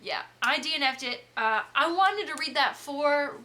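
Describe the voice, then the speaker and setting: A woman's voice: high voice, lively intonation, normal speed, sharp tone. A woman from the Southern US co-hosting a book podcast, giving candid, opinionated takes in casual conversation and laughing easily. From Southern United States. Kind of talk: casual chat.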